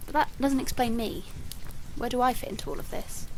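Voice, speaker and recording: Audio drama female role, played by a woman: curious, blunt sceptic, slightly high voice, light noise in the background. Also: a little fast, lightly acted, rough recording. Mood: sceptical. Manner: questioning, frank. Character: inquisitive, sceptical female listener, frank and wry.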